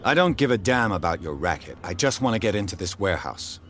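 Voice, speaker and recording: A very deep, deadpan detective type, lively up and down, calm and stubborn. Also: male voice, quick pace, a little hiss or hum. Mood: dry. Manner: calm, dry and dogged. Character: dry, persistent male investigator. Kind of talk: video game voice acting.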